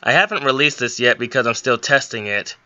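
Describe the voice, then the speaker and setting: A man's voice: mid-range voice, fairly quick, bright, forceful tone, smooth, dry recording, dull audio. A young man giving an informal, step-by-step screen tutorial with a somewhat hesitant way of explaining. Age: young adult. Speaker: hobbyist game modder giving a tutorial.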